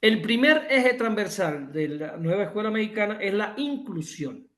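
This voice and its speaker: A man's voice: sits high, expressive, normal speed, fairly bright tone, echoey room. A man from Cuba with a Cuban accent, giving an emphatic online lecture over a video call, restating his points and correcting himself often. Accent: Cuban Spanish.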